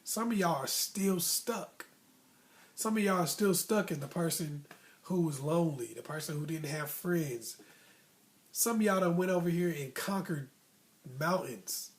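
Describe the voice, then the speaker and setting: An American man with a slightly high voice shares stories from his own life as informal motivational advice. He talks conversationally and leans on repeated phrases to drive his points home. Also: measured pace. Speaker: host of a motivational storytelling vlog.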